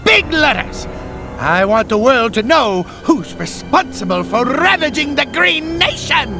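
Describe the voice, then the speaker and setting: A man's voice: very high-pitched, very animated, measured pace, creaky, full character voice. A man playing a megalomaniac toy general, a bombastic, childishly demanding cartoon villain. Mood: grandiose. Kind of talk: exaggerated cartoon villain acting. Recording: loud music under voice.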